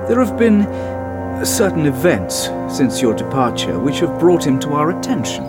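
A man's voice: a little high, sing-song, normal speed. A man acting in an audio drama as a formal government interrogator, official and pointed. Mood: stern, probing. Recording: loud music under drama dialogue.

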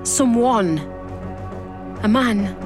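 An actress playing a haunted seer who portentously describes visions in an audio drama. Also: female voice, on the high side, drawn-out words. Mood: straining, defiant. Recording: loud dramatic music behind.

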